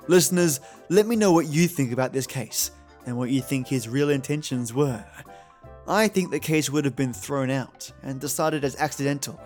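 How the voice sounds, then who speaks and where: A man with a fairly high voice speaks over soft music. He hosts a podcast in a conversational style, chummy and affectionate toward his listeners. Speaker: podcast host.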